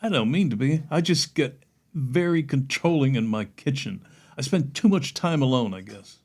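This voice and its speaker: A man's voice: medium pitch, expressive, normal speed, a little mellow. A man playing a secretive, controlling celebrity chef with sinister charm in a readers theater audio play. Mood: flirtatious.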